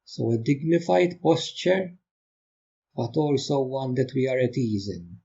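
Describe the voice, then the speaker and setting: A man guides a meditation in a dark, relaxed voice with a slightly breathy grain. He speaks very slowly and gently, leaving calm pauses between short instructions. The recording sounds a little dull. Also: medium pitch. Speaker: meditation teacher.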